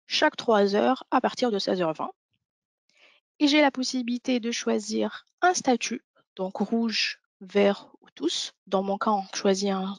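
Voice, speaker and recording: A woman's voice: mid-range voice, no echo. A woman presenting an instructional webinar walkthrough, explaining each thing step by step. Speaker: software demo presenter.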